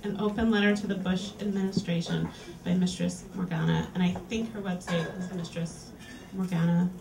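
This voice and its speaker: A woman's voice: fairly low pitch, little pitch movement, crowd noise. A woman hosting a reading series, reading an erotica piece aloud to a live audience in a small lounge. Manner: clear, deliberate reading-aloud delivery. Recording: roomy, distant live capture.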